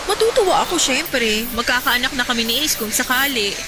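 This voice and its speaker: A woman acting an affectionate, teasing, excitable young bride-to-be in a radio drama. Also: high voice, a little fast, noisy background. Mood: affectionate, happy. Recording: loud music over the voice. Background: loud music.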